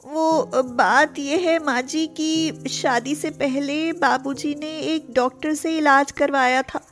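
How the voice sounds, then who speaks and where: Woman playing a high-voiced, timid young bride. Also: steady tone, slow pace, fairly bright tone. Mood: apologetic. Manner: hesitant, pleading. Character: timid, anxious young daughter-in-law hiding a secret.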